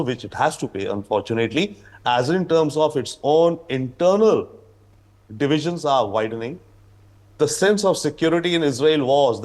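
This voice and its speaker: A man giving news debate commentary as an analyst, speaking emphatically and haltingly with frequent asides. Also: medium pitch. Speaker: panel analyst.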